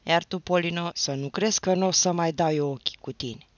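A man shouting as a furious young village lad. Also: a little high, no echo, lightly acted. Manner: shouting, rapid, pleading in anger. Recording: dull, dry lo-fi recording.

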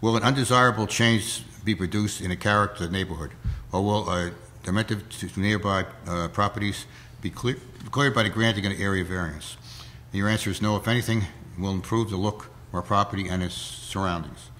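A hoarse man with a cold, chairing a zoning board meeting in plain, folksy words, heard through a table mic in a town hall. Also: fairly low pitch, a little fast, a bit bright. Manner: plain-spoken, folksy, many fillers.

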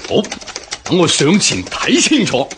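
A man's voice: on the high side, wildly swooping pitch, a little slow, noisy background. An actor playing a bold, confident army officer in a historical radio drama, declaiming his orders. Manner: declamatory, commanding.

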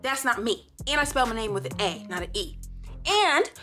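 A woman's voice: a little high, big pitch swings, normal speed, bright, forceful tone, soft background music. A woman in her late twenties from the Pacific Northwest, chatting to camera in a sassy, playful, blunt way. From Pacific Northwest, USA. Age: 20s. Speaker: vlogger. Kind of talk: casual story-time chat to camera.

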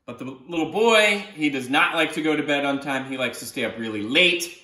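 Animated, bright-voiced man reading in a roomy space. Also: on the high side, fairly quick. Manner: expressive, explanatory asides. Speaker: children's author reading his own book. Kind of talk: animated picture-book read-aloud. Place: small living room, indoors on a sofa. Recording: roomy living-room echo.

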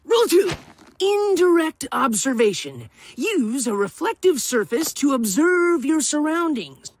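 A woman's voice: high voice, very animated, unhurried, a little hiss or hum. A woman voicing a bossy, lovesick teenage boy superhero in a cartoon, dramatic and emphatic. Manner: dramatic, emphatic, self-important. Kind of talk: animated cartoon voice acting.

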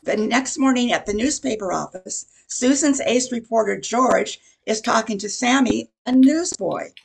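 A woman's voice: a little high, unhurried, vocal fry, husky, low-quality recording. An older woman reading scripted narration for a radio play over a video call. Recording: faint noise on video call.

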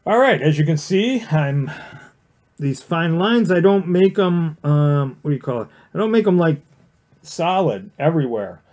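A man with a mellow, warm voice talks through a watercolor demonstration in a casual livestream. He rambles freely, full of fillers and restarts, and explains each brushstroke plainly as he paints. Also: on the high side, animated, a little slow. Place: indoor studio with overhead camera on the painting desk.

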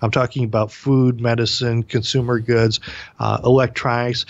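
A man's voice: mid-range voice, level tone, moderate pace, dry recording, highs cut off. A man co-hosting a political talk show over a video call, wry and joking, stumbling over repeated words. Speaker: co-host.

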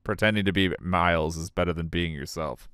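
A man's voice: sits low, fairly quick, fairly bright tone, clean tone, dry recording, clean studio sound. A man who streams games, reading a courtroom game aloud in a dramatic way. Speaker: game streamer voicing all characters. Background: game music.

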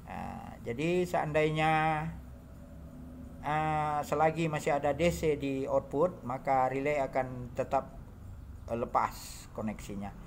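A man narrating an informal hands-on demo, halting and muttering to himself as he works, recorded indoors on a handheld phone. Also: mid-range voice, gravelly, a little hiss or hum. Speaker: hobbyist electronics builder demonstrating circuits. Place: indoor home workbench.

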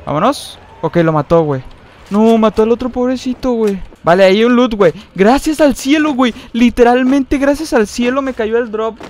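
A young man with a Mexican accent giving excitable, slangy live commentary while playing a mobile shooter, with frequent high outbursts. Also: moderate pace, a little hiss or hum. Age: young adult. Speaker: gaming streamer. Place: indoor room at a desk, facecam. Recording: game music underneath. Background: game music.

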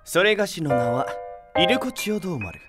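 A man's voice: high-pitched, sing-song, a little fast. A man playing a pompous, self-infatuated wandering samurai in a comic radio drama, with grandiose archaic speech. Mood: solemn, grand. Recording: loud music under the voice.

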